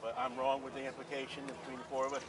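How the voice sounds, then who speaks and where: A man's voice: medium pitch, monotone, very fast, noisy background. A man who preaches on the street, talking earnestly and insistently with police officers outdoors at a market. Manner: earnest, insistent, talks over others. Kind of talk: casual conversation with police. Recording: distant voice, busy street crowd.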